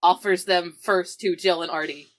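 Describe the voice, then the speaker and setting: A woman's voice: on the low side, steady tone, normal speed. A woman casually improvising narration and dialogue as a player in a tabletop roleplaying game, informal and conversational.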